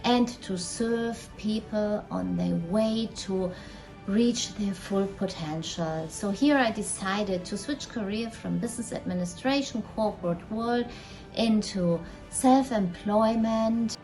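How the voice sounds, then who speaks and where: A middle-aged woman from Germany, introducing herself and her career in a measured, personal way. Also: mid-range voice, darkish tone, soft background music, rough recording. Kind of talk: recorded self-introduction.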